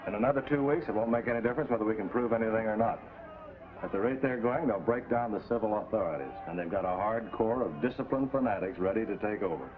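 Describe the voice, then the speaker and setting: An actor playing a sober, worried undercover agent, earnest and terse, in 1950s TV drama style. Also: male voice, on the low side, fast, lightly acted. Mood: grave. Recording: tinny, noisy 1950s TV soundtrack.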